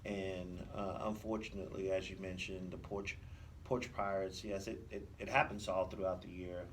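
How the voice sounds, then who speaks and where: A man with a deep voice answers interview questions as a police officer. His explanations come with pauses and hesitations. The mic is a little distant and there is faint noise in the background. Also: level tone.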